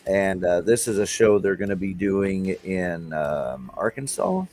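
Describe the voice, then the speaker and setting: A man's voice: deep voice, expressive, unhurried, darkish tone. A middle-aged man, hosting a local music show in a rambling, enthusiastic way. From Texas. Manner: rambling, enthusiastic, with fillers and restarts. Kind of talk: casual livestream chat.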